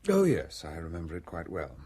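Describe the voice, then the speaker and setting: A man playing a discreet, self-serving valet in a radio drama, speaking with formal, measured and guarded politeness. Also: sits low, huge pitch swings, warm tone, husky, heavily acted. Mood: evasive. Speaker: actor in a radio play. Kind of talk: British radio drama dialogue.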